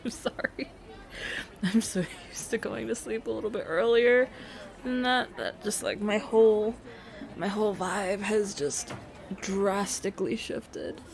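Slow-talking, teasing young girlfriend played by a woman. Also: mid-range voice, creaky. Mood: sleepy, sheepish. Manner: hesitant, playful, trailing off with soft stammers. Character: teasing, affectionate young secret girlfriend, playful and sleepy. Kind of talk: intimate roleplay audio, casual improvised-sounding chat. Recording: noticeable cafe ambience noise behind.

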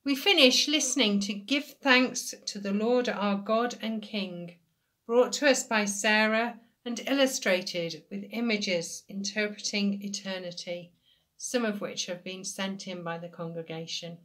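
A woman in her sixties leads worship from a room at home. Her medium-pitched voice is measured and devotional, as in spoken prayer. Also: a bit bright. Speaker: church service leader. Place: small indoor room at home.

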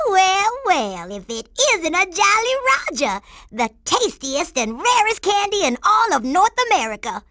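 A woman's voice: very high-pitched, vocal fry, sharp tone, no room sound, studio quality, exaggerated voice. A woman voicing a sassy, tough, confident young girl secret agent in a cartoon video game, with streetwise slang. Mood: triumphant, taunting. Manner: streetwise slang, refers to herself in third person.

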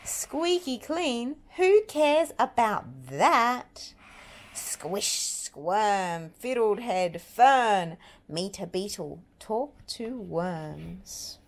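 A woman reading a picture book aloud to young children with dramatic, drawn-out, expressive delivery. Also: medium pitch.

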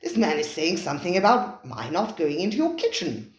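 Narrator doing a flustered nice diner guy, lively voice, echoey. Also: medium pitch, fairly quick, close to an everyday voice. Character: anxious, well-meaning male diner.

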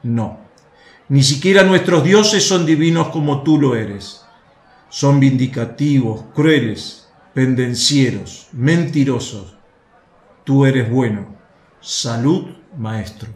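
A man's voice: mid-range voice, slow pace. A man playing a respectful Roman soldier in a radio drama, speaking earnestly and with respect. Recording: echoey room.

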